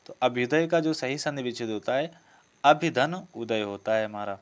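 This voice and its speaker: Guy teacher, mid pitched voice, going thru exam questions, repeats stuff to explain. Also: moderate pace, clear, resonant tone. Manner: didactic, repeats key phrases and asks himself questions. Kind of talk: test-prep lesson, working through questions.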